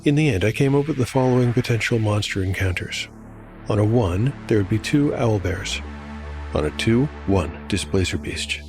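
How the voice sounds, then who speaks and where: A man's voice: lowish voice, lively intonation, normal speed, a little mellow, faint music behind. A man working as solo game master, narrating a scripted fantasy audio drama and commenting on the game.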